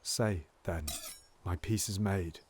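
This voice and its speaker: A man playing a scheming, silver-tongued nobleman in a Shakespearean audio drama, theatrical and ranging between hushed pleading and loud exultation. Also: low-pitched, slow, husky, full character voice. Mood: smooth persuasion.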